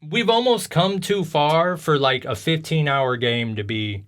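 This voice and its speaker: A man's voice: fairly high pitch, measured pace, fairly bright tone. A man hosting a video game podcast, chatting casually in a rambling, slangy storytelling way. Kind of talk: casual chat about video games.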